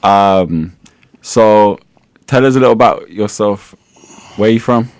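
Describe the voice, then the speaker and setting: A man's voice: on the low side, slow, dry recording. A man hosting a radio interview in a studio, easygoing and teasing, laughing often. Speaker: radio host. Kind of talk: casual interview.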